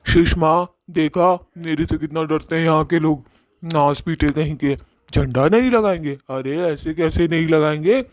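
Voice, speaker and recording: A man's voice: fairly high pitch, dry recording, studio quality, natural voice. Swaggering, bossy cartoon ghost played by a man. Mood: boastful. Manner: swaggering, bullying, colloquial. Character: bossy, boastful male ghost who bullies villagers and flatters his sweetheart. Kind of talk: Hindi cartoon story voice acting.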